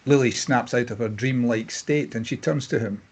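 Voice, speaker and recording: A man with a husky voice narrating stage directions from a screenplay at a table read. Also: mid-range voice, normal speed, darkish tone. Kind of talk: scripted screenplay narration, present tense.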